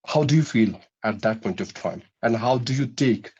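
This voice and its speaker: A man's voice: medium pitch, lively intonation, normal speed, darkish tone, husky, muffled recording. A man interviewing a learner for spoken practice, asking long questions with hesitant fillers. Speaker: English teacher interviewing a learner.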